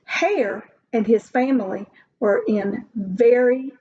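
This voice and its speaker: A woman reading a children's story aloud like a teacher, with deliberate, expressive phrasing. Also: a little high, slight background noise. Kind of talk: slow storybook read-aloud. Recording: dull lo-fi recording.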